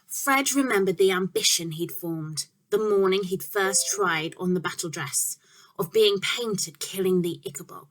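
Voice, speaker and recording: A woman narrating a children's story in a measured, expressive way, phrasing clearly and stressing key words. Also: medium pitch.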